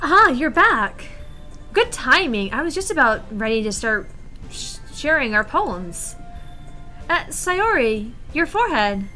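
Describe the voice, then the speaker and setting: Woman as teenage girl club leader, bright tone, unhurried, composed, friendly, encouraging, visual novel. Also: high voice, big pitch swings, faint music behind, exaggerated voice. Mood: welcoming. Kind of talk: visual novel character dialogue.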